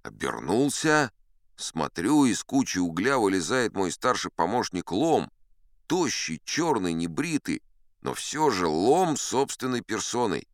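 An actor playing a seasoned, unflappable sea captain who spins tall tales in a chatty, wry, theatrical audiobook manner. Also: male voice, fairly low pitch, big pitch swings, a little slow, no room sound, studio quality. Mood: wry, unhurried. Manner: conversational, wry, leisurely asides to the listener. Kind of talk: theatrical audiobook storytelling in first person.

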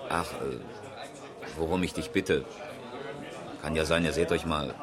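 A man playing a chatty rally driver in a radio crime drama, talking casually and a little haltingly to a friend. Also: rumbling low, steady tone, crowd noise. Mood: uneasy, chatty.

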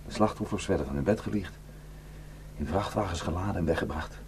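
An actor playing a haunted, guilt-ridden male defector in a Dutch radio drama, speaking in a halting, intense and bitter way. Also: low voice, gravelly, a little mellow. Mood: grave, intense. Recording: noisy radio play recording with hum.

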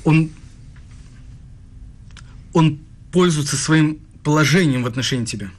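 A man's voice: fairly high pitch, unhurried. An actor playing a jealous young male student with a sardonic, needling manner in a radio play. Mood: jealous, scornful.

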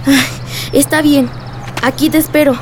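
A woman's voice: sits high, fairly even intonation, normal speed, a little mellow, a little hiss or hum, natural voice. A woman playing an eager, affectionate ten-year-old Mexican girl in a radio drama, speaking earnestly.

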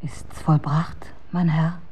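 An actress in a German radio drama playing a bitter, tender outcast woman in a husky, breathy half-whisper, with archaic, poetic speech. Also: sits low, little pitch movement, takes it slowly, darkish tone, slight background noise. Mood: hushed, submissive.

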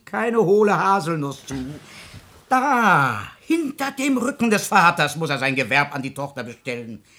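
A man's voice: fairly high pitch, pushed and bright. An actor playing a blunt, hot-tempered but loving older musician father in a classic radio drama, with an earthy, exclamatory delivery. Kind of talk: classic German stage drama radio acting.

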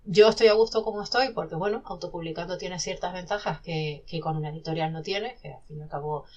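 A woman's voice: fairly low pitch, quick pace, darkish tone, lo-fi sound. A woman, a self-published novelist, talking animatedly in a casual podcast conversation with many restarts.

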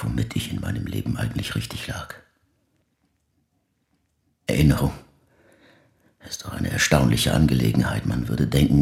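An actor playing a grieving older man who speaks reflectively and slowly in a measured, pensive audio drama monologue. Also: exaggerated voice. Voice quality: very deep, gravelly, breathy at phrase ends. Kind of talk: slow literary dramatic monologue.

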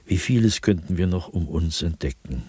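An actor playing an anguished, haunted male writer in a radio drama, speaking his diary in a brooding, deliberate, husky manner with dramatic pauses. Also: low-pitched, lively intonation, warm tone, dry recording, full character voice. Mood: troubled, musing.

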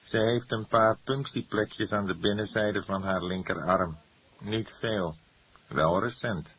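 A man's voice: lowish voice, steady tone, takes it slowly, a bit bright, breathy edge, pitched above the performer's own voice, heavily acted. A man voicing a laconic, ceremonious old police coroner in a detective audiobook, dry and matter-of-fact.

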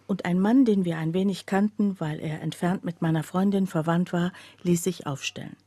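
Husky, mellow troubled woman narrator. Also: lowish voice, fairly even intonation, moderate pace, no echo. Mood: confessional. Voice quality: husky, breathy. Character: troubled woman office worker recounting her own breakdown. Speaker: narrator in a radio play. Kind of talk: scripted first-person radio play narration.

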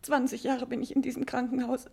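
High, husky, distraught nun. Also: female voice, little pitch movement, fast, warm tone, full character voice. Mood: distraught, fearful. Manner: faltering, fretful. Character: frightened, distraught Polish nursing nun, naive and unworldly. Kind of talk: 1950s German radio play acting.

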